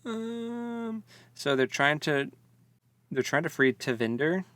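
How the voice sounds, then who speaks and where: A man's voice: on the high side, very animated, takes it slowly, a bit bright, no room sound. A man co-hosting a casual book discussion, speaking informally and jokily with tentative phrasing. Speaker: podcast co-host.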